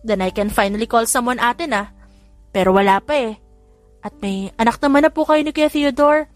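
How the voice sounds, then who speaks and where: A woman narrator acts out a sweet, chatty younger sister in an audiobook romance. Her voice sits high as she teases her brothers and slips between Tagalog and English, with music underneath. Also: moderate pace, natural voice. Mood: pleading, sweet. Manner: mixes Tagalog with English phrases. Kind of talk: audiobook character dialogue.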